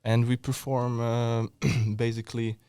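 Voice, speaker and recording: A man's voice: mid-range voice, level tone, unhurried, mellow, clear, resonant tone, dry recording. A young man from Hungary with a Hungarian accent, co-hosting a casual podcast in English, a non-native speaker who hesitates with fillers and restarts. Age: young adult. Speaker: podcast co-host. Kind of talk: casual chat. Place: indoor room, seated in front of camera.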